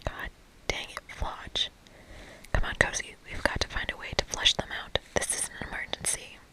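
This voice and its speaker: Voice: normal speed, bright, forceful tone, close to an everyday voice, rough recording. Reader playing a blunt, sarcastic young magical camp girl for a game, casual, entirely whispered. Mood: exasperated. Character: sassy, blunt young female magical camper.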